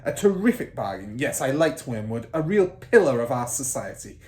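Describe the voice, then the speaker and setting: A man's voice: medium pitch, sing-song, normal speed, dark tone, lightly acted. A man voicing a fierce, bullying middle-aged headmistress in a children's storybook, barking and snorting with scorn. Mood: gruff, assertive. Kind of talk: children's storybook character reading.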